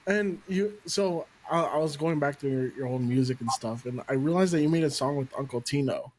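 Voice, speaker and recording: Upbeat podcast host, a bit high. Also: male voice, a little fast, a little mellow, a little hiss or hum, poor audio. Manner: enthusiastic, swears casually. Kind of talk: casual interview chat.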